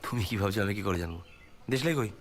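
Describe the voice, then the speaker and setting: An actor playing a casual, evasive younger brother who plays flute, in a naturalistic drama with clipped talk. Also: male voice, lowish voice, expressive, rapid-fire, slight background noise, lightly acted. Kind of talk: naturalistic film drama dialogue.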